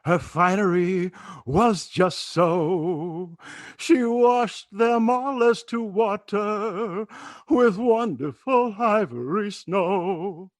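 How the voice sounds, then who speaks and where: A woman's voice: medium pitch, drawn-out words, no echo, clean studio sound. A woman singing a commercial jingle in 1940s radio style. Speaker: jingle singer.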